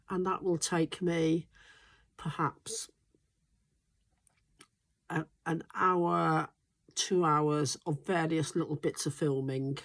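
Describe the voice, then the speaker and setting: A low-pitched woman talks casually to camera about life on her smallholding. Her voice sounds stuffed up and congested from a recent flu. She rambles, with plenty of ums and restarts. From Wales. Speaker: smallholding vlogger. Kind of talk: casual chatty monologue.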